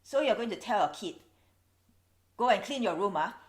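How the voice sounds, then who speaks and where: A woman in her forties from Singapore with a Singaporean English accent, explaining things in a measured, wry vlog. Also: mid-range voice, expressive. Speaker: video host. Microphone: camera's built-in mic.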